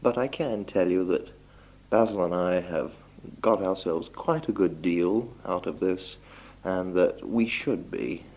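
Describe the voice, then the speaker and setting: A young man speaking a halting, deliberate, politely phrased spoken letter on an old 1960s home tape recording. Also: deep voice, darkish tone, a little hiss or hum, poor audio. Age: young adult. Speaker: doctor recording a message for relatives. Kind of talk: spoken letter, informal monologue.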